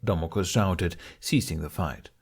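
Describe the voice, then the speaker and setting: A man's voice: low-pitched, measured pace, gravelly, husky. A man reading a scripted story aloud as an audiobook narrator. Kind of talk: scripted narration of fan fiction.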